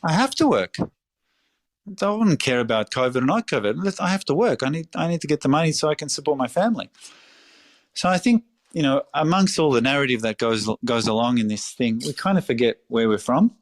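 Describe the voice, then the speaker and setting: A man's voice: medium pitch, animated, fairly quick, no echo. Australian man, middle-aged, passionate, emphatic, dry close sound. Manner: passionate, emphatic, swears freely. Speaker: restaurateur guest. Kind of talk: casual interview talk.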